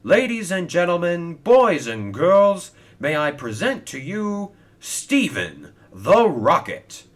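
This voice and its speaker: A man's voice: fairly high pitch, very animated, slow pace, few pauses, voice pushed up. A man voicing a grand, formal railway official making proclamations in a children's story. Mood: proud, announcing. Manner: pompous, proclaiming. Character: grand, formal male railway master of ceremonies.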